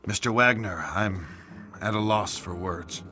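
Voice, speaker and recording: An actor playing a blunt, pressured police detective in a video game. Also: male voice, on the low side, measured pace, over music, natural voice. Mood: subdued. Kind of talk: video game cutscene acting.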